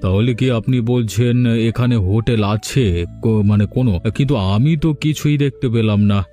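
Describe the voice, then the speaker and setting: A man's voice: mid-range voice, a little fast, warm tone, natural voice. A penniless, hungry traveller from a cartoon acted by a man. He is polite and pleading, with light music behind his words. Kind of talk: cartoon dialogue. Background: background music.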